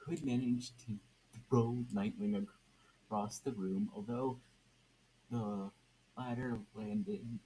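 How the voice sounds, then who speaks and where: Halting young man reading slowly, mellow voice. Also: on the low side, fairly even intonation, a little hiss or hum, poor audio. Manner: halting, stumbles over words and repeats them. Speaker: amateur podfic reader. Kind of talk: reading a story aloud.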